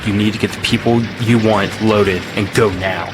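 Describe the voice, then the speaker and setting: An actor playing a gruff, hard-pressed army major in an audio drama, brusque and authoritative. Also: male voice, fairly low pitch, fairly even intonation, moderate pace, close to an everyday voice. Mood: urgent, stern. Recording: loud music and outdoor vehicle noise behind.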